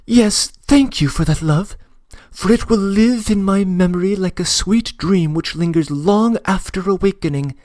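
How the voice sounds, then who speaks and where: A male reader voices a tearful young woman who begs forgiveness and swears her love. Her voice sits well above his own and leans forward with emotion. The delivery is slow, and the recording is close and dry. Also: sits high, no echo, voice pushed up. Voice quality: emotional, imploring. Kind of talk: audiobook reading.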